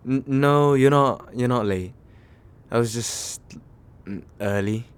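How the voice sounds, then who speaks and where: A man playing a nervous, kind guy on a second date, speaking haltingly and reassuringly in an ASMR comfort roleplay. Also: mid-range voice, clear, resonant tone, close to an everyday voice. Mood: shy, pleased. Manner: hesitant, soft-hearted, self-deprecating, halting with small pauses. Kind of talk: ASMR comfort roleplay, acted as casual chat.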